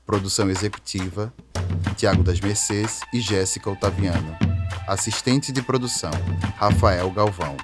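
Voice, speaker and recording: Male announcer, lowish voice, scripted credits read over a clear musical bed. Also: normal speed. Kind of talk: scripted outro and credits reading. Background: music under the voice.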